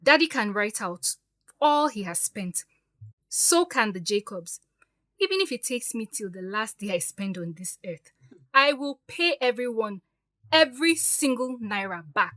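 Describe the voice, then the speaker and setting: A woman's voice: a little high, very animated, unhurried, sharp tone, no echo, heavily acted. A woman with a Nigerian accent playing a hurt, defiant young stepdaughter in an audio drama, speaking with emphatic, pleading firmness. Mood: resolute. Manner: emphatic, pleading yet firm.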